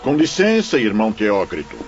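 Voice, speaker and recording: A man's voice: on the low side, huge pitch swings, normal speed, soft background music. A man playing a humble, good-humoured priest in an audio drama, with courteous, formal speech. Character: Father Miguel, humble good-humoured priest and spirit doctor. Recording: dull, muffled lo-fi audio.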